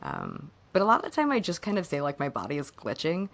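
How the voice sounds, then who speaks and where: Woman w/ really deep hoarse voice chatting on a podcast, kinda halting and casual. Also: huge pitch swings. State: hoarse, voice fading at times. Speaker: podcast co-host. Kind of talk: casual personal conversation.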